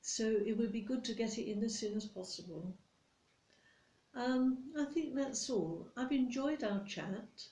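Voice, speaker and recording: A controlling, nitpicking British office boss acted by a woman in a soft-spoken ASMR roleplay. She scolds politely and with condescension, taking her time in a mellow voice. The room adds a noticeable echo. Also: fairly high pitch, measured pace. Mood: condescending, controlling.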